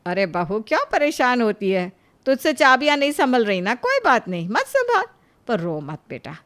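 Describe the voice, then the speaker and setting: A woman's voice: a little high, very animated, normal speed, a bit bright. A woman voicing a shrewd older mother-in-law, a family matriarch in a moral cartoon, coaxing yet authoritative. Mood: soothing. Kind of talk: Hindi moral cartoon story acting.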